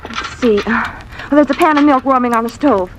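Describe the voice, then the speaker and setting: An actress playing a nervous, patient, eager-to-please young wife in a 1940s radio drama, anxious and placating. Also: female voice, fairly high pitch, brisk, lightly acted. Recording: noisy vintage radio recording.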